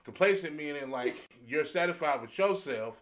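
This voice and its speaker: A man's voice: a little high, expressive, normal speed, a bit bright. A man co-hosting a podcast, chatting casually and colloquially, with other people's voices behind him. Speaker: podcast co-host. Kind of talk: casual chat.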